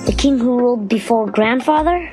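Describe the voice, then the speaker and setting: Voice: mid-range voice, lively intonation, moderate pace, over music, voice pushed up, lightly acted. A performer voicing a curious young male lion cub in a storybook, eager, childlike and full of questions. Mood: curious, amazed. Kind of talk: storybook character voice over music.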